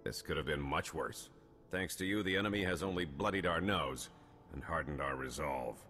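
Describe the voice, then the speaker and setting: Formal military colonel in a game, deep official voice, big hall echo, music under it. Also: male voice, normal speed, bright, forceful tone. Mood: resolute. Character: formal, assured male army colonel, seasoned and commanding. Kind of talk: video game cutscene acting. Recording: long hall reverb with music behind.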